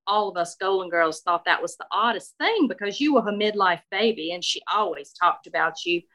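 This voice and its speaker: A woman's voice: medium pitch, sharp tone. A woman voicing an outrageous, nosy Southern lady in her seventies, effusive and full of endearments, in a personal story. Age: 70s. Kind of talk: live-style personal storytelling.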